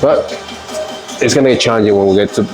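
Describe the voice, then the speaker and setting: A man's voice: fairly low pitch, quick pace, music in the background. A man with a stuffy, congested voice talking casually through a workout, rambling with fillers. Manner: relaxed, rambling, with fillers and laughs. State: congested from a cold. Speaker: fitness vlogger. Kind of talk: casual workout vlog talk.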